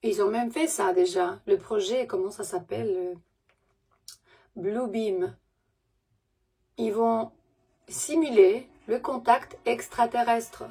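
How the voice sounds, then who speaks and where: A woman giving a gentle, soothing spiritual message to her viewers in a language that is not her first, pausing often and correcting herself. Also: a little high, husky. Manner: gentle, soothing, halting with pauses and self-corrections; speaks French as a second language. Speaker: spiritual messenger.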